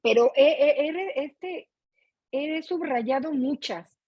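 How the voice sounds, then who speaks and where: A woman's voice: sits high, slow. A middle-aged woman with a Mexican accent, chatting expressively about what she reads as a club participant, often checking for agreement. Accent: Mexican Spanish. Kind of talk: casual spoken book commentary. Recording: muffled, echoey online meeting audio.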